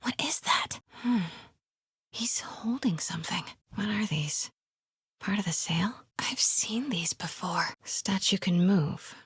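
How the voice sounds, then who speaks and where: A woman playing a plucky, quick-witted young female investigative reporter in a video game, with a breathy voice. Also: medium pitch, expressive, no echo, whispered, studio quality, full character voice. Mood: hushed, focused. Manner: hushed muttering to herself.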